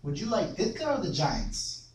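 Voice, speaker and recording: A man playing an excitable sports superfan host in a comedy sketch, stretching words, repeating himself and tagging questions with "eh". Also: high-pitched. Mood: earnest. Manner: drawn-out, repetitive, tags questions with "eh". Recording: echoey room, distant mic, faint noise.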